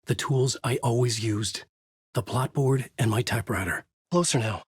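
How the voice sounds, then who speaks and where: Husky middle-aged guy, brooding noir narration, dry close sound. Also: mid-range voice, normal speed, no room sound. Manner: brooding, noir-like inner monologue. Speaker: video game protagonist, a writer. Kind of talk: hard-boiled game narration.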